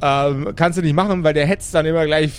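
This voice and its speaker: Man playing a brash, grumbling necromancer boss, higher-pitched. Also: steady tone, a little fast, a little hiss or hum, lightly acted. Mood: gruff salesman. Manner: slangy, coarse, rambling, stammering repetitions. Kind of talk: improvised pen-and-paper audio play.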